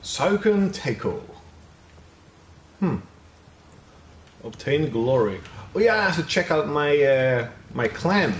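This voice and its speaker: Slow-talking Dutch man gaming. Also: mid-range voice, reverberant, slight background noise. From Netherlands. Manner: slow, loose, chatty with viewers, swears casually. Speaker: gaming streamer. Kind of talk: casual live commentary while playing.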